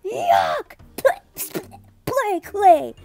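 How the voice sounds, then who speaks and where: A woman's voice: very high voice, wildly swooping pitch, very slow, creaky, darkish tone, soft background music, voice pushed up, exaggerated voice. A woman voicing an eager, mischievous little boy in a children's storybook, exclaiming emphatically. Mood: disgusted yelling.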